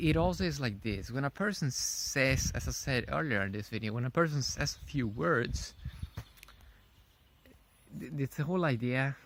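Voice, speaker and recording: A man giving a casual, unscripted opinion monologue in a rambling, halting way, often repeating his phrases. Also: medium pitch, a bit bright, slight background noise. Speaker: vlogger.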